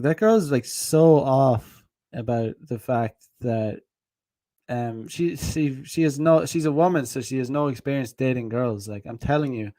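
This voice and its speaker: A man's voice: mid-range voice, warm tone, no echo. A man giving dating advice on a casual stream, rambling with lots of fillers and frequent laughs. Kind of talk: casual rambling livestream talk.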